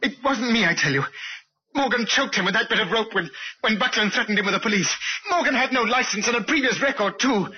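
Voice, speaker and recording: An actor playing a cocky, scheming stage-actor villain with oily swagger, in an old-time radio drama. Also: male voice, high-pitched, quick pace, sharp tone, slightly rough, exaggerated voice. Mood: defensive, panicky. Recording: dull old radio recording.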